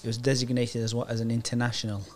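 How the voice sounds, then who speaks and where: A man's voice: medium pitch, monotone, racing, a little mellow. Hesitant Iraqi-born man in his forties. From Iraq. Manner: hesitant, many fillers and restarts. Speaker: guest. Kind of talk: casual interview chat.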